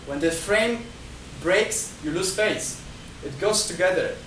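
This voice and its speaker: A man's voice: high-pitched, animated, slow pace, a bit bright, a little hiss or hum. A man giving a talk at a discussion group, working through numbered points with frequent restarts and repeated words. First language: not Chinese. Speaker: guest speaker. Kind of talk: lecture-style talk. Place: talk venue, indoors. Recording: echoey room, mic at a distance.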